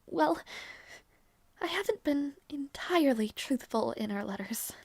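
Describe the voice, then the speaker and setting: Girl acting as a sweet bashful princess, high creaky voice, soft n halting, fantasy audio roleplay. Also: lively intonation, no echo, exaggerated voice. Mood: nervous, earnest. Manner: soft, hesitant, tender, halting delivery. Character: gentle young noble princess, earnest, bashful and affectionate.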